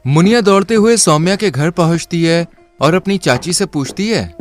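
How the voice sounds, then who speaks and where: A man narrates a moral cartoon story in a steady, scripted storytelling manner. His voice sits a little high for a man, with faint music underneath. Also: normal speed.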